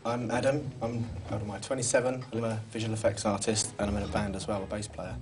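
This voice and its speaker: A man's voice: on the low side, level tone, quick pace, background noise. A man in his twenties introducing himself in a laid-back, joking way. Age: 20s. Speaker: dater. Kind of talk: casual interview. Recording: music under the voice.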